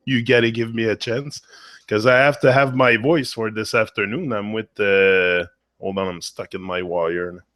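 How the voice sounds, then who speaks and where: A hoarse man who is losing his voice talks through a casual spiritual monologue on his own channel. His voice sits fairly low, and he rambles and halts, repeating phrases and leaning on fillers. The recording is dry, with no room echo. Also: fairly even intonation, moderate pace, fairly bright tone. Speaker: motivational channel host.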